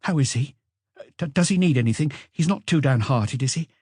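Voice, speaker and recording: A man voicing an anxious, guarded young woman in an audiobook, soft-spoken and hesitant as she answers police questions. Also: medium pitch, lively intonation, fairly quick, slightly rough, no echo, studio quality, pitched above the performer's own voice. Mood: worried.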